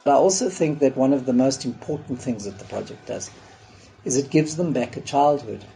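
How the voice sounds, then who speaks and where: A man, a school project founder, speaking in a measured, earnest documentary interview. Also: mid-range voice, vocal fry, mellow, slight background noise, low-quality recording.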